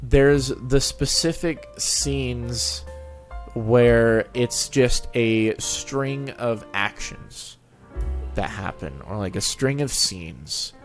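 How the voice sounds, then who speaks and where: A man's voice: mid-range voice, extremely slow. A man hosting a casual interview, talking in an enthusiastic, rambling way. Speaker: show host and reader of fan fiction audio. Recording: background music. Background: music under the talk.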